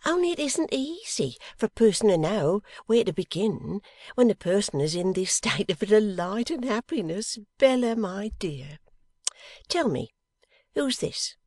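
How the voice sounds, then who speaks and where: A woman voicing a warm-hearted, delighted old working-class wife in a classic novel reading, with folksy, exclamatory speech. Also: medium pitch, big pitch swings, measured pace, no room sound, pitched above the performer's own voice. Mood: joyful, bubbling.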